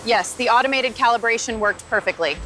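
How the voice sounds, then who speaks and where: A woman's voice: on the high side, brisk, sharp tone, low-quality recording. A woman playing a composed quality-control technician in scripted factory dialogue, speaking in a clipped, procedural way. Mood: composed, businesslike.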